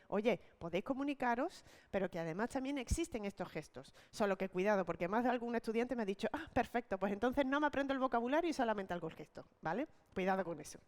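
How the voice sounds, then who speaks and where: A woman leading an online teacher-training talk, explaining step by step and often checking in with quick tag questions. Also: on the high side, lively intonation. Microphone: video call.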